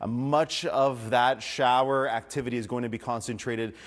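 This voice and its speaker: A man's voice: medium pitch, steady tone, normal speed. A man presenting weather and traffic in a conversational broadcast style. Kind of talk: unscripted-sounding broadcast forecast presentation. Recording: long reverberant room sound.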